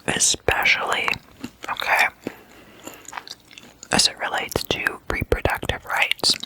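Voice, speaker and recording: A person speaking in a breathy, unvoiced whisper, hushed and conversational, like an ASMR host chatting at a sleepover. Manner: slow, hushed, casual and conversational. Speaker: host of an ASMR roleplay series.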